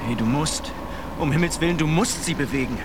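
An actor playing a lively, wry sailor comrade in a polar lifeboat in a radio drama. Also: male voice, on the high side, brisk, lightly acted. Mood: urgent, alarmed. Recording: noisy open boat with lapping water.

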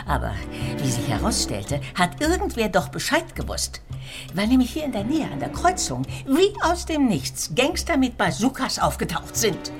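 An actress playing a chatty, homely, excitable guesthouse owner in a crime radio drama, telling things with gossipy emphasis. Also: female voice, a little high, moderate pace, over music. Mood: relishing, scandalized.

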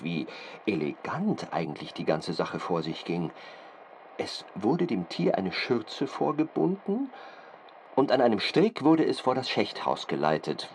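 A man playing an earnest, fastidious doctor who gives detailed expert testimony in a deliberate, halting, precise manner. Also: low-pitched, a little hiss or hum, heavily acted. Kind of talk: period-drama studio interview, detailed account.

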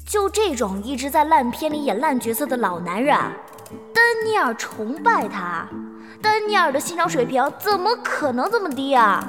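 Woman playing a high, animated, mocking fan. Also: normal speed. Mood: contemptuous. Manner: mocking, incredulous. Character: contemptuous, disbelieving female fan of a star. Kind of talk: audio drama acting. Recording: long reverb, loud music behind.

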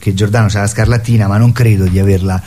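A man's voice: fairly low pitch, fairly even intonation, fast, warm tone. Guy in a casual theatre interview, rambles and restarts, bit of noise behind him. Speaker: theatre performer and guest.

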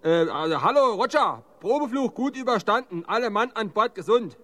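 A man's voice: sits high, lively intonation, normal speed, a bit bright, big-room sound. A man playing an easygoing, beer-loving rocket pilot, chatting in dialect.

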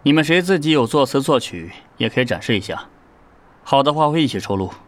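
A man's voice: mid-range voice, lively intonation, quick pace, close to an everyday voice. An actor playing a businesslike boy-band manager in an audio drama, instructing in a matter-of-fact way.

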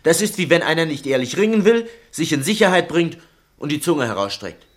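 Earnest, animated young challenger. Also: male voice, a little high, fairly quick. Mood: indignant. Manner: direct, challenging. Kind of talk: German radio drama acting.

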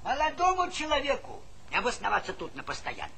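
A man's voice: very high voice, wildly swooping pitch, quick pace, gravelly, sharp tone, heavily acted. An actor playing a combative village man in a stage comedy, scolding, sarcastic and exasperated. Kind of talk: theatrical stage comedy acting. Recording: noisy 1970s theatre play recording.

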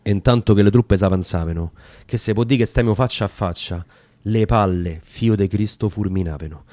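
A man's voice: fairly low pitch, normal speed, warm tone, no echo. A man with a Roman accent giving an emphatic, theatrical radio recitation of a dialect verse story. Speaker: reader of dramatic verse.

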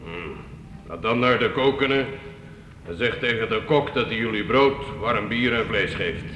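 Bright-voiced, haughty medieval lord, echoey. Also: male voice, fairly low pitch, measured pace. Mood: commanding. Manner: curt, commanding. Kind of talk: radio play acting. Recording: echoey hall, noisy old radio recording.

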